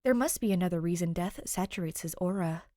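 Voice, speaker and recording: Woman reading a story out loud, lowish voice, really dry close sound. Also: fast, dry recording. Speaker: podfic reader. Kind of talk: expressive scripted story narration.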